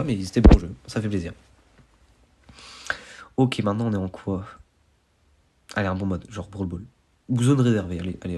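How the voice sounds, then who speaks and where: A young man with a cold gives fast, slangy commentary over a video game, quick to react and to laugh at his own mistakes. His voice sits a little low and sounds husky and stuffed up. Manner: excitable, self-mocking, slangy. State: has a cold, nasal voice. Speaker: gaming YouTuber. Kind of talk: casual gameplay commentary.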